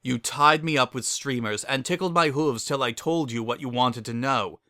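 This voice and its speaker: A man's voice: medium pitch, normal speed, pushed and bright, no echo, clean studio sound, close to an everyday voice. A man voicing an exasperated, easily sidetracked cartoon unicorn pony, plain-spoken and grumbling. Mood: aggrieved.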